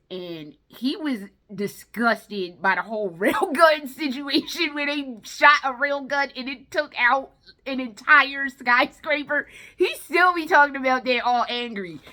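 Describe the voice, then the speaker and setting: A woman's voice: high voice, sharp tone. A woman giving casual, chatty commentary in a blunt, exasperated way, with plenty of slang and swearing. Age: adult, no longer young. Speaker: vlogger reviewing films.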